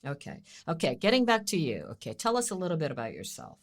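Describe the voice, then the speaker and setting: Brisk, low-voiced woman podcast host. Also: big pitch swings. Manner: brisk, playful, prompting questions. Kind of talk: casual interview chat.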